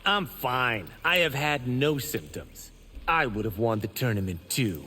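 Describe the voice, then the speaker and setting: A man's voice: mid-range voice, lively intonation, unhurried, pushed and bright, husky, heavily acted. An actor playing a cocky, boastful young male martial arts fighter in video game dialogue. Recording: reverberant hall, background ambience noise.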